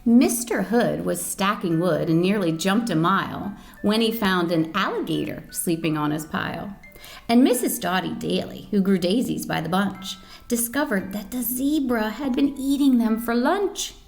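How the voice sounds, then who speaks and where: A woman reading a picture book aloud to children as a storyteller, with an expressive, dramatic manner. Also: mid-range voice, moderate pace, vocal fry, faint music behind. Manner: expressive, dramatic reading with questions to young listeners. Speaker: volunteer storyteller.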